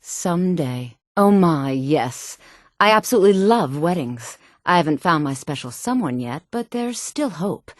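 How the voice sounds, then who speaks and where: A sultry townswoman from a fantasy game. Her voice sits low and swings widely in pitch, recorded dry and close. She sounds self-possessed, knowing and flirtatious. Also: lively intonation, unhurried, dry recording. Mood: flirtatious, wistful. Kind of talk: scripted video game voice lines.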